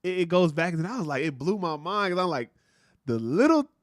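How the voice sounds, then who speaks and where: A man with a deep voice chatting casually as a podcast co-host, animated and slangy, often laughing. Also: a little fast, no room sound, clean studio sound. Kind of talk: casual chat.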